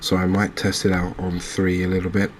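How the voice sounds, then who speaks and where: The deep-voiced man on a late-night cozy gaming channel, murmuring measured, gentle commentary over a bed of background noise. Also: completely flat delivery. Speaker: host playing a fishing video game. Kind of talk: soft-spoken ASMR game commentary.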